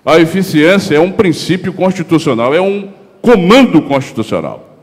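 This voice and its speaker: A man's voice: on the high side, very animated, normal speed. Oratorical man at a council lectern. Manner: oratorical, rhetorical questions, emphatic lists; gestures while speaking at a lectern. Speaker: city councillor. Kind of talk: formal political speech. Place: council chamber, indoors. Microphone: handheld gooseneck lectern microphone. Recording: long reverb of a chamber hall.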